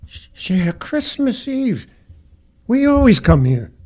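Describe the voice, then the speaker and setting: A man playing a mild, dignified father with a gentle, hesitant manner, in an old-time radio drama. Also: very high-pitched, very animated, slow pace, heavily acted. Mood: dazed, faltering. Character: Mr. Grover, injured, dazed father, mild and dignified.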